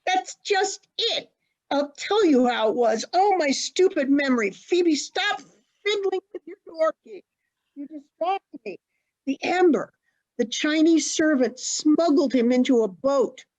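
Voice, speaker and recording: A woman's voice: sits high, lively intonation, unhurried, a little mellow. Woman acting forgetful genteel old lady, muffled audio. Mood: flustered. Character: elderly forgetful genteel lady, mother of a grown son. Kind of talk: stage acting in an online one-act play. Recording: dull lo-fi online call audio.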